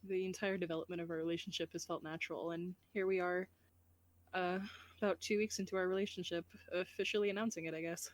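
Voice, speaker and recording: A woman's voice: lowish voice, steady tone, quick pace. A woman talking casually as a guest voice actor in an outro chat.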